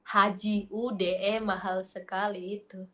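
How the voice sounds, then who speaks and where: A woman's voice: medium pitch, flat delivery, unhurried, bright, forceful tone. Chatty young woman with a Makassar accent. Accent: mixed Makassar. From Makassar, Indonesia. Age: young adult. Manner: chatty, storytelling, laughs easily. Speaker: video chat stranger. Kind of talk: casual chat. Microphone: video call.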